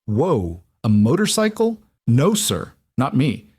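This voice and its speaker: A man's voice: mid-range voice, wildly swooping pitch, slow pace, darkish tone, slightly rough, dry recording, clean studio sound, pitched above the performer's own voice, exaggerated voice. A man voicing a goofy, chatty male office neighbor who rambles and cracks jokes. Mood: jokey.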